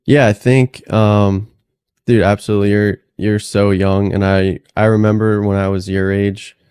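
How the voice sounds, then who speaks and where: A man in his late twenties hosts a laid-back conversation, his voice low and steady with little rise and fall. The tone is mellow and smooth. The sound is dry and close, with no room around it. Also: moderate pace, no room sound. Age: late 20s. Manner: relaxed, encouraging, says "dude" and "like" often. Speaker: podcast host. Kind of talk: casual interview conversation.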